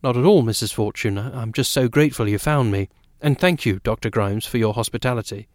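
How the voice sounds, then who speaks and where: A man's voice: medium pitch, fairly quick, no room sound, studio quality, close to an everyday voice. Man playing a careful, hesitant, polite newcomer. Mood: grateful. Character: guarded, polite, grateful male newcomer. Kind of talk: audiobook character dialogue.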